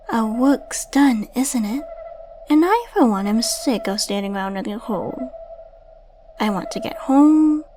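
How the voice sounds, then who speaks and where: A woman's voice: on the high side, background noise. A woman playing a playful, flirtatious female secret agent in a scripted ASMR roleplay, coy and teasing as she addresses the listener. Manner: coy, teasing, chatty with tag questions. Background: ambient sound effects.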